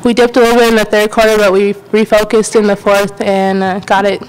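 Smooth-voiced young woman athlete. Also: medium pitch, flat delivery, brisk, poor audio. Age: young adult. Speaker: college basketball player. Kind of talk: press conference answers. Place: press conference room. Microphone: table microphone.

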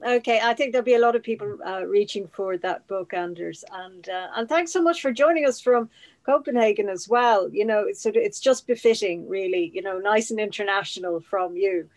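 A middle-aged woman hosts an informal video call. She is chatty and effusive, with a mid-pitched voice. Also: brisk, darkish tone. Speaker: host. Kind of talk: informal event hosting. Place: indoor room at home.

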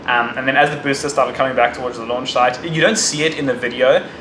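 A man's voice: medium pitch, quick pace, echoey room. A man vlogging, talking casually with fillers as he recaps a trip, recorded outdoors on a handheld camera. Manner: excited shouting and cheering during launch. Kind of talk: casual travel vlog. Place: outdoors on a crowded beach. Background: crowd cheering and outdoor noise.

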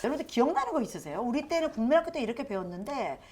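Lively woman quiz host. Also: fairly high pitch, rapid-fire. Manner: upbeat, leading a quiz. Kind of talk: casual quiz chat.

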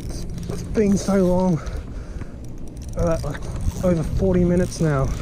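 A young man from Australia fishing from a rocky shoreline, giving excited, self-talking commentary into a head-mounted action camera. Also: high-pitched, unhurried. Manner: excited, exclaiming, talks to himself. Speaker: fishing vlogger. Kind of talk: casual running commentary while fishing. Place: outdoors on a rocky shoreline by a canal. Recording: loud wind and water noise.

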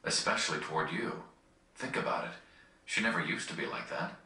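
Deep, forceful young resistance leader. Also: male voice, sing-song, a little fast, gravelly, full character voice. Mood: grave, suspicious. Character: serious, guarded young male resistance leader. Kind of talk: dramatic video game acting. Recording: roomy, distant capture off a screen.

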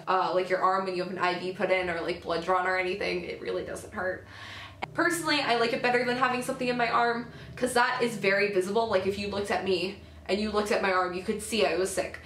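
A teenage girl vlogging casually to camera at home, a little hoarse from a cold, chatty and full of fillers and self-corrections. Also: mid-range voice, a bit bright, echoey room. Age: teens. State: has a cold, slightly hoarse. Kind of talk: casual talking-head vlog. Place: small indoor room at home. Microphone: camera at close range.

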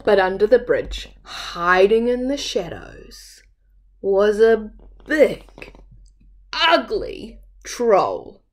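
A woman's voice: medium pitch, very animated, drawn-out words. A woman in her thirties reading a children's story aloud expressively, as a storyteller at home. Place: small room at home.

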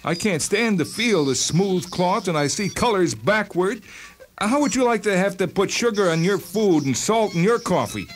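An actor playing an irate, aggrieved shopkeeper patient in a radio drama, whiny and complaining. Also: male voice, high voice, animated, normal speed, faint music behind. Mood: indignant. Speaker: actor voicing a character.

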